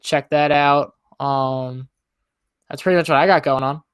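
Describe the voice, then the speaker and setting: Male co-host, slightly high clean voice, loose informal chat with hedging phrases. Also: fairly even intonation, unhurried, clean tone, dry recording, studio quality. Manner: hedging, informal, leans on "like" and "I mean". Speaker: podcast co-host. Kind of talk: casual chat.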